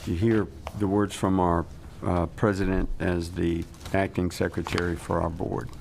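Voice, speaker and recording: Man, very deep voice, board chairman, formal procedural tone, faint room noise. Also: little pitch movement, unhurried, creaky.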